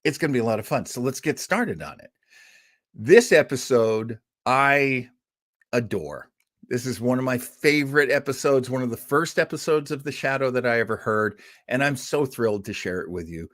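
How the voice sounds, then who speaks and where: A man in the host's seat, chatting about old radio with an animated, lively lilt. He rambles with fillers and asides, but he stays warm and engaged. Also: medium pitch, moderate pace. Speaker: podcast host. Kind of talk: enthusiastic casual history talk.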